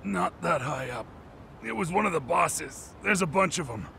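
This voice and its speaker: An actor playing a crude, hot-tempered low-rank gang thug in a dubbed video game, profane and sneering, with a gravelly voice. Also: male voice, animated, moderate pace, bright, forceful tone, slight background noise, heavily acted. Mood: resigned. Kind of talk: English dub video game cutscene acting.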